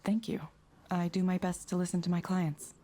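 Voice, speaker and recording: A woman's voice: fairly low pitch, little pitch movement, moderate pace, warm tone, slight background noise, natural voice. A woman voice actor playing a polite, scripted digital counselor in a video game, formal and detached. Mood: professional, detached. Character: polite, scripted AI counselor, neutral, soothing, female.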